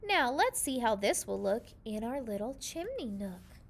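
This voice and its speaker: A woman's voice: a little high, very animated, measured pace, full character voice. A woman voicing a cheerful, eager father rabbit in a children's storybook, with an exclamatory manner. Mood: excited wonder.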